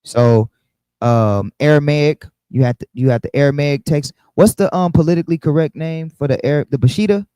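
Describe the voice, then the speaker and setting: A man's voice: on the high side, moderate pace, mellow, clean tone, no echo. A man teaching a casual bible study, often checking in with the listeners by asking "right?".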